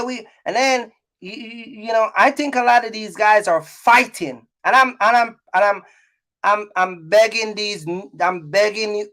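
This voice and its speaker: A man's voice: high voice, fairly bright tone. A middle-aged man talking casually to his viewers in a vlog, emphatic and repetitive, often checking that listeners follow. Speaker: trucking business owner and content creator.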